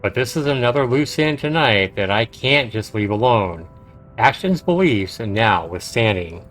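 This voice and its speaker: A man reading a visual novel aloud on a live game stream, with some halting pauses and stumbles. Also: mid-range voice, lively intonation, fairly bright tone. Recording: game music behind. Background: video game music.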